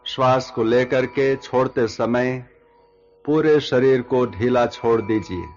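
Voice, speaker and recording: A man leading a guided yoga nidra relaxation as instructor, giving measured instructions in short phrases with long pauses. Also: medium pitch, darkish tone, dull audio. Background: background music.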